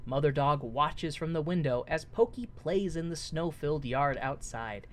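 A man's voice: fairly high pitch, moderate pace. Joking man with a light, boyish voice. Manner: joking, swears freely, reads the story aloud with mocking asides. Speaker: podcast co-host. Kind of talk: casual comedic recap chat.

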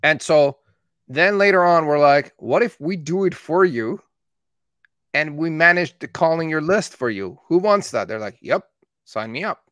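A man in his thirties, a marketing agency owner from Canada who was born in Iran, telling his story in steady, matter-of-fact conversation. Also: on the high side, unhurried, no room sound, studio quality. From born in Iran, raised in Canada. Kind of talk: casual conversation.

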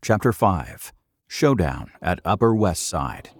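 A man with a very low voice reads scripted narration for an audio drama. The sound is dry and close, with no room around his storytelling. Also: sing-song, slow, husky, dry recording.

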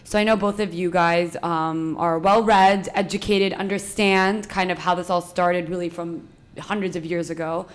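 A woman hosting a podcast, reading an earnest introduction and then interviewing two guests with measured questions. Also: fairly low pitch, flat delivery. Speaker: podcast host.

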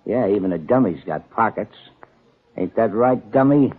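An actor in a 1940s radio drama playing a taunting, slow-witted gangster henchman who needles in repetitive, ungrammatical talk. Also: fairly low pitch, expressive, breathy edge. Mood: menacing. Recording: tinny, narrow-band 1940s radio recording.

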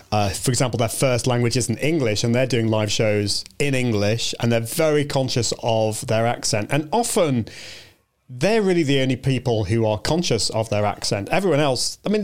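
A man hosting a live show in a casual interview, encouraging and supportive, often stumbling over his words. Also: medium pitch. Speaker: host of a live video show.

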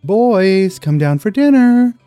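A man acts out an exasperated young mother in an audiobook story. The voice is lifted well above a low male register, harried and maternal, in a dry recording. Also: sing-song, slow, dark tone, clean tone, no echo, soft background music, exaggerated voice. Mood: calling out, impatient. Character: harried, exasperated young single mom calling her boys.

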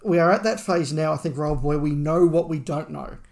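Creaky-voiced man in rambling podcast chat. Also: a little high, brisk, a little mellow. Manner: rambling, thinking aloud. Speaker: podcast co-host. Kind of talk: casual fan discussion.

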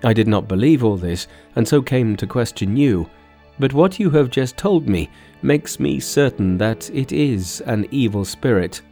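A man's voice: mid-range voice, lively intonation, measured pace, mellow, soft background music. A man voicing an earnest young prince who entreats his mother formally and urgently in a folk tale. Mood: earnest, grave. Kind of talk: storybook dialogue in a narrated folk tale.

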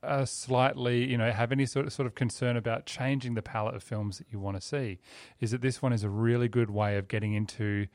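A man's voice: mid-range voice, fairly even intonation, fairly quick, darkish tone, no echo. A man hosting a film review discussion in a casual, conversational style. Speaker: film review podcast host. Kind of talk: casual chat.

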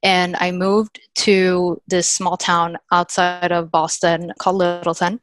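A woman's voice: on the low side, level tone, measured pace, a bit bright, no room sound. A woman, chatting as a podcast guest in an upbeat, filler-heavy way. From Sweden. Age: 30s. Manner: chatty, upbeat, many "like" and "you know" fillers. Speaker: podcast guest, sales account executive. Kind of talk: casual interview chat.

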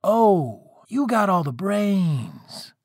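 A man's voice: mid-range voice, big pitch swings, slow, vocal fry, slightly rough, no echo, clean studio sound, heavily acted. A man voicing a massive villainous fire spirit, a father with a sarcastic, smug way of talking. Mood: menacing sarcasm.

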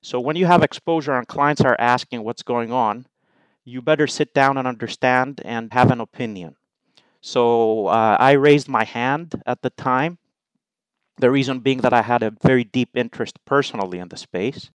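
A middle-aged man from Greece, a guest in an interview, explaining his views in measured, numbered points. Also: mid-range voice, clean tone, dry recording, poor audio. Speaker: investment allocator, guest. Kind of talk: interview answers.

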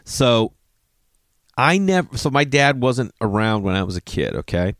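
A man's voice: mid-range voice, big pitch swings, unhurried, a bit bright, dry recording. Forties guy rambling thru a story, swears, fixes himself a lot, dead dry mic. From Massachusetts. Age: 40s. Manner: digressive, self-correcting, swears casually.

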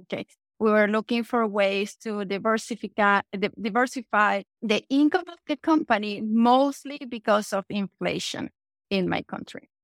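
Venezuelan-accented woman, gravelly and dry. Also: mid-range voice, normal speed, no echo. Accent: Venezuelan Spanish. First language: Spanish. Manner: confident, says "okay" often, self-corrects. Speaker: guest entrepreneur. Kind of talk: podcast interview.

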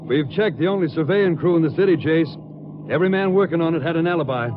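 A man's voice: fairly high pitch, fast talker, close to an everyday voice. A man acting a tough, impatient police detective in a 1950s radio drama, blunt and clipped. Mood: brisk, businesslike. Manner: hard-boiled, blunt, clipped. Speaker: supporting actor in a radio drama. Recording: dull, noisy 1950s radio recording.

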